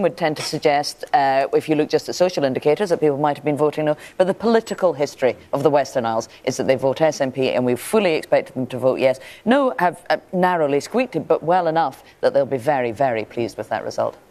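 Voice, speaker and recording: A woman explaining a result as a political analyst, clear and assured. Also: deep voice, expressive, quick pace, few pauses, a little mellow. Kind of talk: studio analysis.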